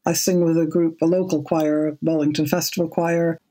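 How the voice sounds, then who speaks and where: A woman in her seventies with a low speaking voice, chatting as a podcast guest in a measured, thoughtful way, often repeating a word as she restarts. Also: level tone, warm tone. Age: 70s. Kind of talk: casual podcast interview.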